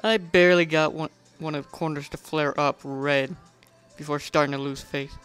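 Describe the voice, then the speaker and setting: A narrator giving a first-person dramatic story reading in an animated way, sometimes stumbling and rereading lines. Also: mid-range voice, moderate pace, clean tone, soft background music. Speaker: narrator reading a fan fiction.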